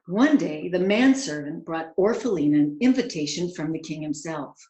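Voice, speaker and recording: A woman reading a picture book aloud with expressive, dramatic storyteller phrasing, drawing out key words. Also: fairly low pitch, normal speed, reverberant. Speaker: children's author reading aloud.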